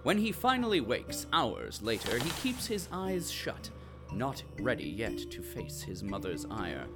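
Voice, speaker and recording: A man's voice: medium pitch, wildly swooping pitch, unhurried. A man narrating an audio drama in a wry tone that speaks directly to the listener, with music playing under him.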